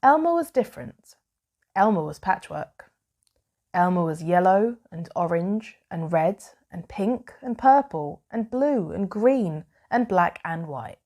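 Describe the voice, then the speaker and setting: Slow-paced woman reading a bedtime story. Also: on the low side, big pitch swings, darkish tone. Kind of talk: read-aloud children's bedtime story narration.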